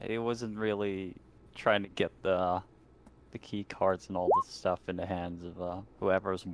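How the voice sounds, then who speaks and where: A man's voice: low-pitched, little pitch movement, a little slow. A man casually narrating a tabletop roleplaying game as game master.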